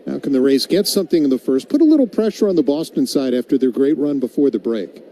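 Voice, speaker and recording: A man's voice: medium pitch, lively intonation, quick pace, mellow. A man calling a baseball game live as a radio play-by-play commentator. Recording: live broadcast with clear background noise.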